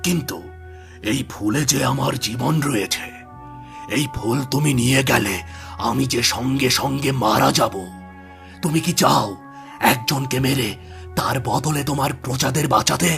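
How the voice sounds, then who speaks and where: A man voicing a grave enchanted beast who guards a magic flower in a cartoon fairy tale, speaking in a gravelly, measured way. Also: medium pitch, fairly bright tone, faint music behind. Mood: grave, warning.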